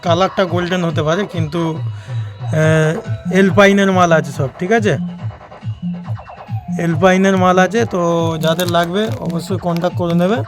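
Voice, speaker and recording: A man's voice: high voice, fairly even intonation, normal speed, pauses often, faint music behind. A man with a West Bengal Bengali accent giving casual, repetitive sales patter to viewers in an unboxing vlog. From West Bengal, India. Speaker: audio equipment seller and channel host.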